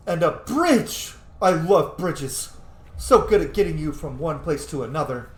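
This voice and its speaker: Animated, playful man gaming in an echoey room. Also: fairly high pitch, unhurried. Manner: playful, sweary. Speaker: gaming video host. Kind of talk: casual game playthrough commentary. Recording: echoey room, faint noise.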